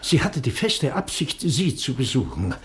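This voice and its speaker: An actor playing a doctor's anxious, formal and courteous assistant in a 1960s German radio crime drama. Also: male voice, mid-range voice, very animated, normal speed, natural voice. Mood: grave.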